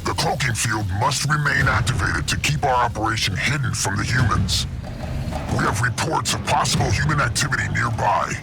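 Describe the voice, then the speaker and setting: An actor playing a menacing alien commander in a video game, gravelly, stern and imperious as he issues formal orders. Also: mid-range voice, moderate pace, natural voice. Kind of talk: scripted game villain briefing. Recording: very loud music over dialogue. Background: loud music.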